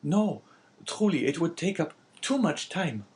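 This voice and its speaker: A man's voice: on the high side, sing-song, a little slow, pitched above the performer's own voice. A man voicing a bitter, wavering, talkative innkeeper in an audiobook, with a plaintive and emphatic manner. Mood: resigned.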